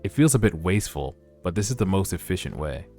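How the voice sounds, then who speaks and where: A man reading as a low-voiced, determined young sorcerer. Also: very animated, fast, warm tone, full character voice. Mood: focused. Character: reincarnated young male sorcerer, determined and calculating. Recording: music under the voice.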